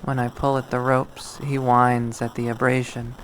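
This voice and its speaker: A man reading a visual novel aloud as dramatic first-person narration, in a measured way. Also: mid-range voice, completely flat delivery, a bit bright, rough recording. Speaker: game player reading aloud. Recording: faint background noise.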